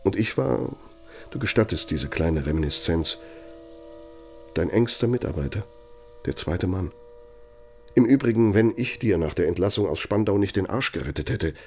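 A man's voice: low voice, expressive, a little mellow, slight background noise. An actor playing a bitter, sardonic older former right-hand man in a radio play, speaking with needling, threatening emphasis. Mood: bitter reminiscing. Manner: sarcastic, needling, emphatic, drawn-out phrasing for menace. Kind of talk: radio play dramatic monologue.

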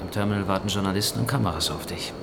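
A man's voice: low voice, rapid-fire, gravelly, background noise. An actor playing a familiar, teasing male friend in a radio drama, speaking in a casual, confiding way. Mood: confiding, hurried.

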